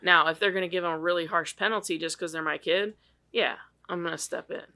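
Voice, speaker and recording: A woman's voice: fairly low pitch, steady tone, quick pace, bright, forceful tone. A woman co-hosting a podcast in casual chat, blunt and swearing freely, laughing as she rants. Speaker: podcast co-host.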